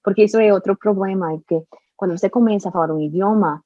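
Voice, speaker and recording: A young woman, a native Spanish speaker, talking in an interview about learning Portuguese, with careful, halting speech and restarts. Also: medium pitch, dry recording, phone-quality sound. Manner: slow, careful speech with frequent restarts and laughs. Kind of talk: casual conversational interview.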